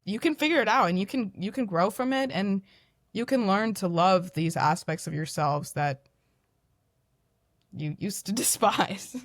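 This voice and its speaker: A young woman who is a podcast guest, talking in a loud, animated, self-deprecating way. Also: mid-range voice, a little slow, dry recording, clean studio sound. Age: young adult. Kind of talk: candid podcast conversation.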